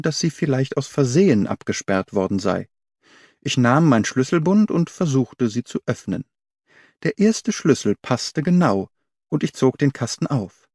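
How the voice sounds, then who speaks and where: A man reading the part of an observant young governess who recounts a mystery, in measured, precise audiobook narration. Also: mid-range voice, mellow, no echo, studio quality. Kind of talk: first-person audiobook narration.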